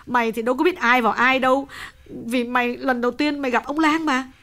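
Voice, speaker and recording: A man voicing a distraught young wife in a high, fretful falsetto. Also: steady tone, a little fast, pushed and bright, full character voice. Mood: tearful. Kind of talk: audiobook character voice.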